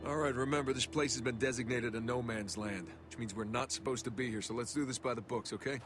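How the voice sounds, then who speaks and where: An actor playing a commanding, sardonic American special forces captain in a video game, gruff and plain-spoken. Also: male voice, medium pitch, fairly even intonation, fast, music in the background, close to an everyday voice. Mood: terse, wary. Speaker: army captain.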